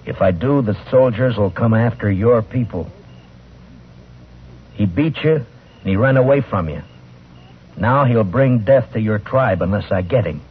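An actor playing a stern, resolute marshal in a radio western, terse and commanding. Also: male voice, on the low side, lively intonation, unhurried, long pauses, husky, full character voice. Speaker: lawman hero and narrator. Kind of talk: old-time radio western acting. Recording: tinny vintage radio recording, faint music.

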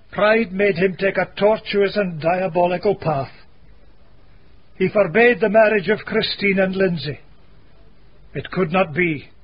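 High-pitched, grave Scottish minister. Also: male voice. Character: grave elderly Scottish minister, self-deprecating and morally stern. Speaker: actor in a radio mystery drama. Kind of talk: dramatic radio acting. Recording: dull, faintly noisy radio recording.

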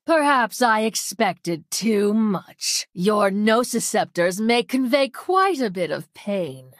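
A woman's voice: mid-range voice, no echo, studio quality. An actress playing a coldly arrogant female mad scientist villain in a video game, with a clinical, mocking manner and precise diction. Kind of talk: theatrical video game voice lines.

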